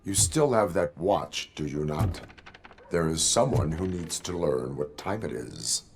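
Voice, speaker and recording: An actor playing a stoic, grave cyborg policeman in a video game, with stiff, formal, deliberate delivery. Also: low voice, lively intonation, vocal fry, full character voice. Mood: stern, resolute.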